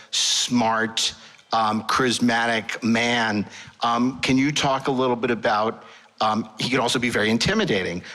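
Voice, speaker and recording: A man's voice: medium pitch, bright, forceful tone. Man hosting a panel in a reverberant hall. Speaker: panel host. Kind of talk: casual live panel moderation. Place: convention hall before an audience.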